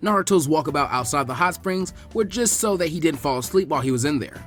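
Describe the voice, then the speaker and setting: Male narrator for fan fiction audio, higher-pitched voice, quick, expressive, light music underneath.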